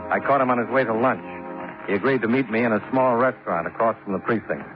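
Male actor as insurance investigator, 1950s radio drama, quick delivery, businesslike, music behind, old recording. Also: medium pitch, dull audio, close to an everyday voice. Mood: crisp, matter-of-fact.

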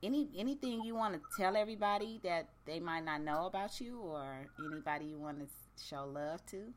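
Deep low-voiced woman host, blunt and profane, laid-back slangy radio talk. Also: lively intonation, brisk, a little hiss or hum. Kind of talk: casual radio chat.

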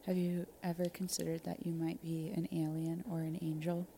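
A woman co-hosting a comedic mock interview, asking questions in a dry, deadpan way. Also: lowish voice, moderate pace, creaky, slight background noise. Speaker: co-host.

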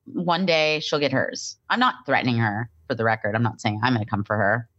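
A woman co-hosting a podcast, chatting casually as she recaps a reality TV show, her voice low and a little nasal from a cold. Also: a little fast, lo-fi sound, slight background noise. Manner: chatty, animated, breaks into quoted impressions. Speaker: podcast co-host.

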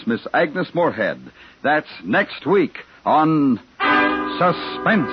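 Old radio show announcer guy, fairly high voice, formal, music playing behind, dull 50s sound. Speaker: program announcer. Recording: dull 1950s radio recording. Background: orchestral music.

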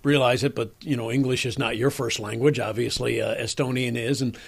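A man's voice: medium pitch, steady tone, fairly quick, gravelly, slightly rough. A man hosting an interview, giving informative lead-ins before his questions.

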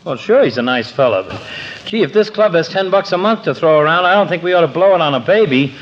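Guy doing a blunt tightwad pal, slangy n lively, swoops around, dull noisy old 40s radio sound. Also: on the high side, fast talker, close to an everyday voice. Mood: grumbling, defensive. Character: brash, tight-fisted, grumbling male small-town club pal. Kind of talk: 1940s radio comedy acting.

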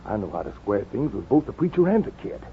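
Casting: bossy outlaw villain, low gruff voice, menacing schemer, dull hissy old radio recording. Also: male voice, sing-song, fast. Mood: scheming. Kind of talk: 1950s radio western acting.

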